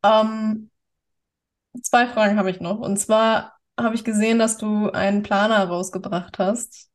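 A woman's voice: mid-range voice, steady tone, unhurried. A young woman hosting a podcast, asking chatty, curious follow-up questions. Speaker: podcast host. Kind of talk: casual podcast interview.

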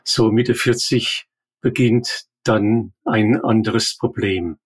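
A man's voice: lowish voice, slow pace, slightly rough. An older man, an eye doctor, explaining matter-of-factly in an interview. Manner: calm, explanatory, matter-of-fact. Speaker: ophthalmologist guest. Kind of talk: expert interview.

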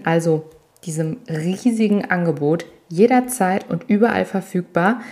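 Woman coach doing a solo podcast, lowish relaxed mellow voice, explains stuff straight to listeners, stresses key words. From Italy. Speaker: weight-loss coach and podcast host.

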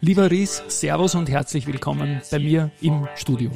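A man's voice: a little high, moderate pace, vocal fry, music in the background. A man with an Austrian accent hosting a podcast interview in a casual, chatty, informal way, often interjecting. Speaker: podcast host.

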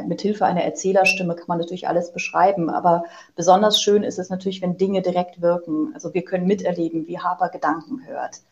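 A woman's voice: fairly low pitch, a little mellow. Woman explaining, on slightly dull audio. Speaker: interview guest, radio play author. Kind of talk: conversational explaining.

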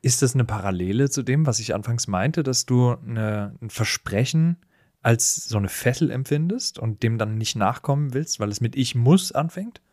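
A man chats as a podcast co-host, reasoning things through out loud. His pitch is average, and the recording is dry with hardly any room sound. He is inquisitive and keeps checking whether he has understood correctly. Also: lively intonation, normal speed, no room sound. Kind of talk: casual reflective podcast chat.

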